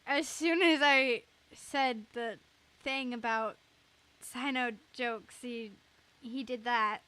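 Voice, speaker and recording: A woman's voice: on the high side, fairly even intonation, takes it slowly, bright, forceful tone, clean tone, no echo. A woman giving casual, giggly, reactive commentary as she plays a game. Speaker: game streamer.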